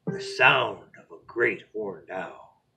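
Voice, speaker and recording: A man reads a children's picture book aloud as a bedtime story, expressively, with dramatic pauses and phrases that drop to a hush. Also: on the low side, animated, creaky, slightly rough.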